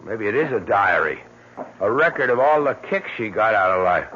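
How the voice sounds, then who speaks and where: Forceful, wry American bartender sleuth. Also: male voice, fairly low pitch, lively intonation, normal speed. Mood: sardonic. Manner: blunt, sardonic, probing. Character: blunt, skeptical, wry young male bartender playing amateur sleuth. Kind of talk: old-time radio mystery acting. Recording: dull, hissy old radio broadcast.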